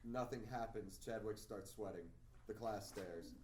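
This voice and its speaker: A man's voice: on the low side, unhurried, darkish tone. A man narrating the action lines of a screenplay at a table read. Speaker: stage direction reader. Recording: echoey room, distant mic, faint noise.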